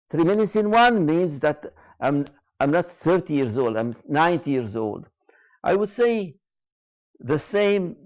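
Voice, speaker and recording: A man giving a reflective spoken lecture, slow and deliberate, repeating key phrases with frequent hesitations. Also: on the high side, highs cut off. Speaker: speaker giving a talk.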